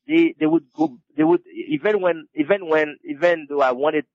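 A man, an author being interviewed, who answers haltingly and restarts his phrases again and again. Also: on the high side, darkish tone, no echo. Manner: halting, with many repeated restarts. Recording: dull lo-fi dry recording.

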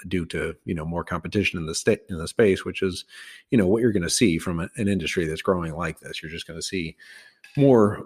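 A man hosting his own podcast, talking loosely through stock news with many fillers and restarts. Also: sits low. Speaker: podcast host. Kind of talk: casual stock commentary.

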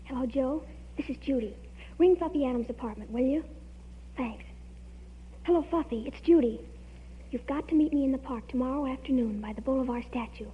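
A woman's voice: sits high, a little fast, long pauses, natural voice. An actress playing an earnest, anxious, movie-struck teenage girl in an old radio comedy, speaking with breathless melodrama. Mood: grave, secretive. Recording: muffled old radio recording, hum.

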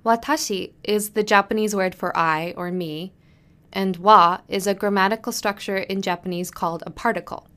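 A woman hosts an instructional podcast and explains each step in a clear, friendly teaching voice. Her pitch sits in the middle range. She slows down and says each Japanese phrase carefully for listeners to repeat. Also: steady tone, a bit bright. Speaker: podcast host and business culture teacher. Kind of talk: scripted podcast lesson.

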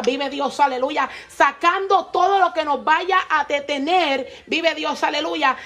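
High-voiced fervent woman preaching. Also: fairly bright tone. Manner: fervent, peppers speech with "vive Dios, aleluya" and tongues; rises in pitch when stressing points. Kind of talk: Pentecostal-style sermon.

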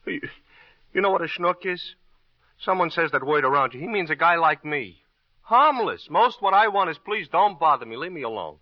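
A man plays a jittery American schnook in an old radio crime drama. His fairly high voice swings widely as he pleads, wisecracks and feels sorry for himself. The vintage recording is dull and dry. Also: lively intonation, moderate pace, no echo, lightly acted. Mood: defensive pleading. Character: jittery, self-pitying male small-time schnook under police questioning.

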